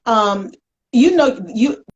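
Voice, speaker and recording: Chatty, higher-voiced American woman hosting. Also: expressive, takes it slowly, lo-fi sound. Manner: chatty, playful, folksy. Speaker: talk show host. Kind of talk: casual conversational interview.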